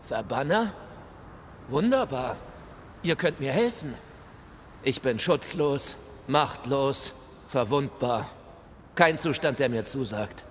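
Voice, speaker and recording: An actor playing the embittered, polite ghost of a colonial shop clerk, with formal, theatrical delivery in a video game. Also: male voice, medium pitch, expressive, slow pace, pauses often. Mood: aggrieved. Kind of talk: video game dialogue acting. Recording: hall reverb, noisy ambience.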